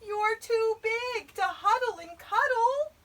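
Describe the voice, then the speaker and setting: A woman's voice: very high voice, slow pace, a bit bright, pitched above the performer's own voice, heavily acted. A woman voicing a frightened little boy in a storybook read-aloud, gasping and exclaiming. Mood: amazed, dismayed. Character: frightened, wide-eyed little boy who lost his teddy.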